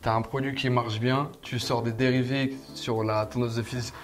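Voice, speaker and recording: A man's voice: mid-range voice, flat delivery, normal speed. A young man co-hosting a casual barber product review in a playful way, speaking into a lapel mic in an indoor studio. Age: young adult. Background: background music.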